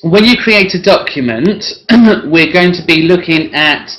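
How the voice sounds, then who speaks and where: A hoarse man who is losing his voice talks through an assessment plan one-to-one as a work-based assessor. He clears his throat often and speaks haltingly, with frequent tag questions. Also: on the high side, bright, forceful tone. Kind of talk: one-to-one assessment planning talk. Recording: dull lo-fi recording.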